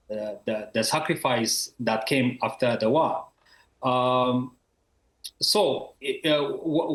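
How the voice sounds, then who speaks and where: A man's voice: medium pitch, fairly bright tone, echoey room, low-quality recording. A man from Ethiopia, a university professor in his thirties, answering interview questions over a video call with many fillers and restarts.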